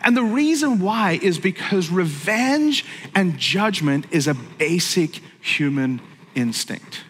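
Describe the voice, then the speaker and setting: Northern Irish pastor, bright, in a hall. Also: male voice, high-pitched, animated, slow, husky. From Northern Ireland. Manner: jokey, conversational, self-interrupting. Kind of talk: sermon.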